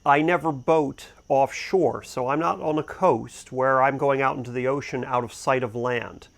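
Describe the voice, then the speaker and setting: A man's voice: mid-range voice, normal speed, gravelly. A man hosting a boating gear review, talking casually and off the cuff as he thinks aloud. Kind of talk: casual unboxing and review talk, unscripted.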